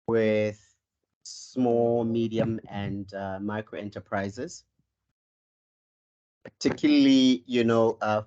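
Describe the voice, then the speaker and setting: A man's voice: lowish voice, darkish tone. A South African man from Cape Town, a life coach giving an online presentation and introducing himself with frequent ums and self-corrections. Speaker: life coach, guest speaker. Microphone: video call. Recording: muffled video call audio.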